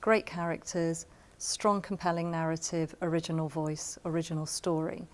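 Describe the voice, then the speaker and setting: Female drama producer, slightly low register, explanatory and emphatic interview delivery. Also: level tone, normal speed. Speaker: radio drama producer giving writing advice. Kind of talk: spoken advice to camera in an interview.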